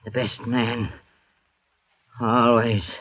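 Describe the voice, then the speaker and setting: Vengeful castaway, husky voice, slow sneering menace, dry dull vintage radio recording. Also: male voice, medium pitch, level tone, fairly bright tone, no room sound. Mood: vengeful triumph. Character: vengeful wounded castaway convict, menacing and triumphant. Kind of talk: golden-age radio drama acting.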